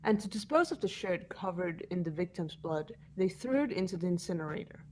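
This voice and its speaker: A woman's voice: fairly low pitch, expressive, fairly quick, a little mellow, slight background noise, close to an everyday voice. A woman voicing a composed, incisive female student who explains evidence methodically in an amateur game dub. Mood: accusing, calm. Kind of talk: amateur voice acting in a visual novel playthrough.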